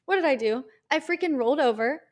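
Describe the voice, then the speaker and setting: A woman's voice: sits high, animated, fast talker, a little mellow. A woman co-hosting a casual podcast, upbeat and cheerful with a dry, teasing side. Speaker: podcast co-host.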